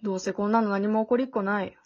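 Woman playing a blunt, husky-edged teenage girl. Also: medium pitch, fairly even intonation, fast talker, natural voice. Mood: exasperated, reluctant. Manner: dry, cool, sharp-tongued. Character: blunt, exasperated but protective teenage girl. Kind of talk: amateur short radio drama acting. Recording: dull, lo-fi audio.

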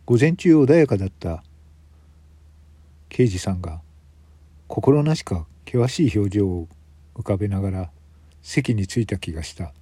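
Man, radio drama narrator, first-person storytelling, mellow, husky, dry recording. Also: fairly low pitch, animated, measured pace, pauses often, no echo.

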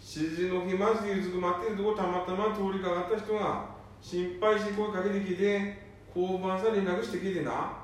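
A man playing a gruff middle-aged son with a Nanbu dialect in an amateur radio drama. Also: sits high, little pitch movement, moderate pace, lightly acted. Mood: flustered. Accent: Nanbu dialect, northeastern Japan. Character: middle-aged eldest son, gruff, embarrassed by his father. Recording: very echoey, distant, faint noise.

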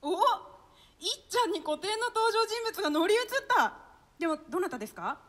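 A woman's voice: very high-pitched, very animated, fairly quick, fairly bright tone. A woman hosting casual radio banter, explaining things in a playful mock-formal, exclamatory way. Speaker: radio show host, stage actor.

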